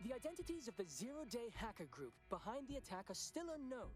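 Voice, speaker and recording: An actress playing a serious news reporter reading scripted broadcast bulletins. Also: female voice, on the high side, very animated, fast. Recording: music bed under voice. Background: music.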